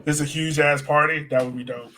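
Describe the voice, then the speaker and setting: Guy w a slightly high voice co-hosting a sports podcast, super casual n slangy. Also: fairly even intonation, fast talker, bright, forceful tone, a little hiss or hum. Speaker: podcast co-host.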